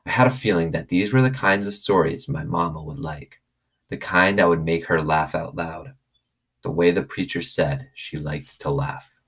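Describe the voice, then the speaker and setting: Deep-voiced, husky male storyteller. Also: normal speed, fairly bright tone. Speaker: read-aloud storyteller. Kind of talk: expressive reading of a children's novel.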